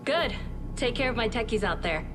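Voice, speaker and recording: A woman playing a brisk, businesslike research doctor in a video game, explaining in a precise, matter-of-fact and dryly exacting way. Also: on the high side, lively intonation, natural voice. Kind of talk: video game cutscene briefing. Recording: music playing behind.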